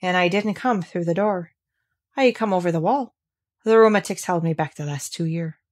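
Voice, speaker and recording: Storybook role: blunt old Yorkshire gardener, male, dry and crabbed, soft-hearted underneath, played by a woman. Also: on the low side, normal speed, close to an everyday voice.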